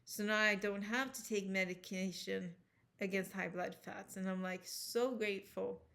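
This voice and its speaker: A woman's voice: medium pitch, steady tone, moderate pace, gravelly, a bit bright. A woman with a Swedish accent, speaking English as a second language, talking casually and earnestly to camera as a health vlogger, rambling with restarts and self-corrections. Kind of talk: casual personal talk to camera.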